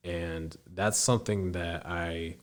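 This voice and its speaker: Deep-voiced young man telling stories. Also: steady tone. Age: 20s. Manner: earnest, storytelling, halting. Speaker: guest. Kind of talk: casual interview.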